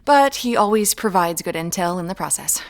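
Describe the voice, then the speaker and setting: A woman playing a guarded, quietly determined amateur detective in a naturalistic audio drama, with a dry, measured manner. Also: fairly low pitch, lively intonation. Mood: wry, guarded.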